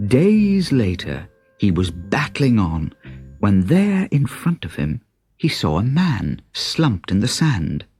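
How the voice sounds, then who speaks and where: A man narrating a children's story in an expressive, unhurried, dramatic way with a husky voice. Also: on the low side. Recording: children's story cassette with music. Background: music.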